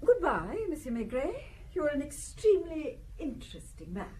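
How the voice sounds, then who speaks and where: An actress playing a haughty, well-to-do wife in an audio drama, socially gracious in an arch, mannered way. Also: female voice, high-pitched, very animated, normal speed, background noise.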